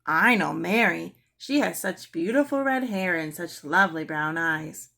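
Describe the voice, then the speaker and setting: A woman voicing a calm, knowing lady who advises on children in a storybook, polite and assured. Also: on the low side, a little slow, creaky, full character voice.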